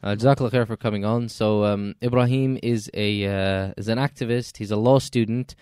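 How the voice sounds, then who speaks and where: A male podcast host with a low-pitched, smooth, resonant voice. He talks in a polite, measured way with a touch of joking. The sound is close and dry, with no room around it. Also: fairly even intonation, darkish tone, no room sound. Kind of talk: casual interview chat.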